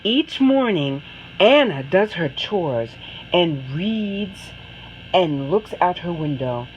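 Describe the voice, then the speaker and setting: A woman reading a children's story aloud with dramatic, deliberate phrasing. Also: fairly low pitch, lively intonation, highs cut off, a little hiss or hum. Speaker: storybook reader.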